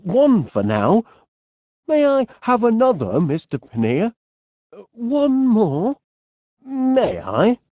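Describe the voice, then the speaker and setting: A genial, bumbling middle-aged English inventor for a comedy video game. He has a Northern English accent and takes his time over his words, with pitch that swoops about. He is eager yet easily flustered and hesitant, recorded in a very dry studio sound. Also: wildly swooping pitch, slow, pauses often, dark tone, no room sound, full character voice. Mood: cheerful, hopeful. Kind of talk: animated comedy game voice acting.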